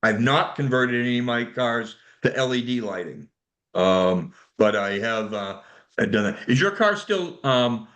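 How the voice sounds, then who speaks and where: A man moderating a club technical call in a casual, halting way, often repeating words as he goes. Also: mid-range voice, animated, a bit bright. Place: remote conference call. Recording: conference call audio.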